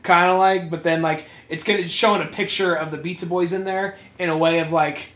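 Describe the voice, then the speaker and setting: A man's voice: high voice, steady tone, a little fast, a bit bright. A man co-hosting a casual comic commentary, talking in loose, sweary slang. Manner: profane, slangy. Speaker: podcast co-host. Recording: roomy, echoey sound.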